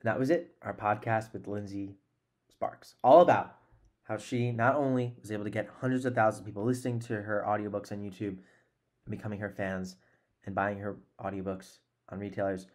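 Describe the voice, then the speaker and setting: A man's voice: on the low side, fairly even intonation, moderate pace, a little mellow. A man in his twenties hosting a podcast in a conversational, earnest and encouraging way, close on a desk microphone. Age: 20s. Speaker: podcast host. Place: small room at home, indoors.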